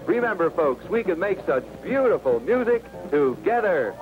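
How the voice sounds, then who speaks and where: Loud music runs under an old radio spot. A male announcer with a fairly high voice delivers rhythmic patter, his pitch moving in a lively way. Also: moderate pace, narrow, tinny audio. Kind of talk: vintage radio public service message, rhythmic patter.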